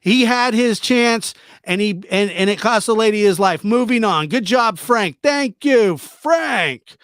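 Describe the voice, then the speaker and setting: Casual male livestreamer, slightly high-pitched, dry sound. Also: expressive, a little slow, a bit bright, dry recording. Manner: informal, teasing, repeats himself. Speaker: livestream host. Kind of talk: casual live chat commentary, reading and answering viewer comments.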